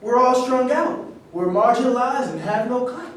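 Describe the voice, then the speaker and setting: A man's voice: extremely high pitch, animated, moderate pace. A man acting in a staged reading, delivering rhyming dialogue. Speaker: actor in a staged play reading. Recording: echoey room, distant mic, faint noise.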